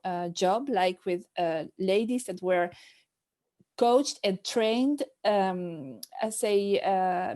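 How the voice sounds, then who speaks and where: A woman's voice: medium pitch, mellow. A middle-aged woman from France with a French accent, speaking as a maternity nurse in an interview, hesitant with many fillers and restarts. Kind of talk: casual interview talk.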